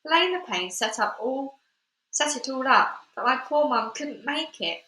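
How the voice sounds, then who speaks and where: Bright-voiced woman reading a storybook. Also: high voice, measured pace. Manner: chatty and expressive, with fillers in asides. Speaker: storybook reader. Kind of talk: reading a children's book aloud. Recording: echoey room.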